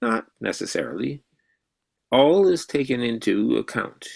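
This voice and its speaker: An actor playing an elderly, intense mathematician who quizzes his pupil in a deliberate professorial way in a radio drama. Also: mid-range voice, sing-song, creaky, full character voice. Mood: calm assurance. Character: elderly, intense mathematician mentor, excited and probing.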